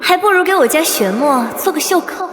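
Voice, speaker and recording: High, animated cheeky princess. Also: female voice, fairly quick. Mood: mocking. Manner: brash, teasing. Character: bold, cheeky, protective princess in a fantasy world. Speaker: voice actor in a fantasy short drama. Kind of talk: dramatic drama acting.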